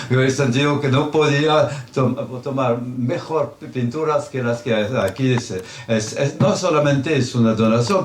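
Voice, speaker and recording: A man with a French accent, a native French speaker, haltingly speaking as an art donor at a public event. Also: medium pitch. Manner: hesitant, searching for words. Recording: echoey hall, faint noise.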